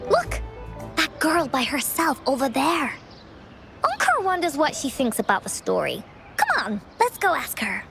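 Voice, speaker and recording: A woman's voice: sits high, wildly swooping pitch, a little slow, vocal fry, a bit bright, exaggerated voice. A woman voicing a cheerful, childlike girl who talks about herself in the third person, in a video game. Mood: eager. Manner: bubbly, refers to herself by name. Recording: game audio with music behind.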